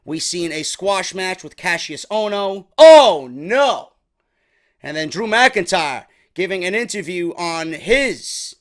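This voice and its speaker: A young man from New York, chatty and slightly hoarse from a sore throat, reviewing a wrestling show in a casual vlog. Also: fairly high pitch, fairly bright tone. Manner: chatty, keeps calling viewers "man".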